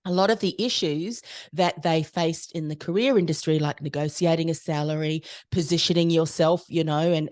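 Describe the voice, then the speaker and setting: A woman's voice: on the low side, darkish tone. A woman, a business coach telling her story fluently and at length as a podcast guest. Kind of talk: casual interview chat.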